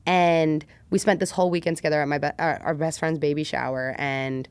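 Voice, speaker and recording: Low-pitched woman telling stories casually. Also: fairly quick, smooth, no echo. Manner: animated, slangy, acts out quoted lines. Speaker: podcast guest. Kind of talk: casual personal storytelling in conversation.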